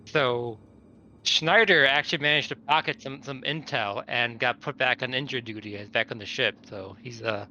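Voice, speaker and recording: A man's voice: medium pitch, moderate pace, pushed and bright. A man playing a tabletop game, talking casually and reading out character notes. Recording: dull lo-fi mic, faint noise.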